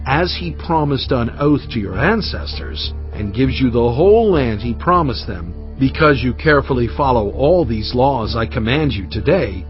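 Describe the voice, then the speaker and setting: A man reading scripture as a dramatized narration, solemn, measured and emphatic in delivery. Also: medium pitch, muffled recording. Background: cinematic music.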